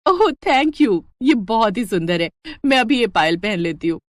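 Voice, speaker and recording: A woman's voice: medium pitch, sing-song, unhurried, background noise. A woman voicing a sweet but firm young bride in a family story. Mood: delighted.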